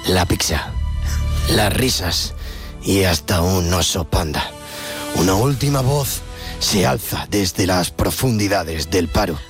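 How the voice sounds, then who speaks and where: A man putting on the voice of a solemn male movie-trailer narrator, speaking slowly and dramatically. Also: low-pitched, animated, over music, pitched below the performer's own voice, exaggerated voice. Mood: mock-epic. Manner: slow, grave, dramatic delivery.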